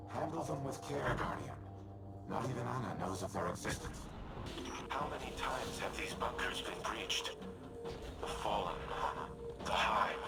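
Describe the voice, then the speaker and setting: An actor playing an ancient male war AI in a video game, with a measured, formal and grave delivery. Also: on the low side, low-quality recording. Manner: grave and precise, clipped statements. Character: ancient male war AI, solemn and guilt-ridden. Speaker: military AI guiding the player. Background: loud game music.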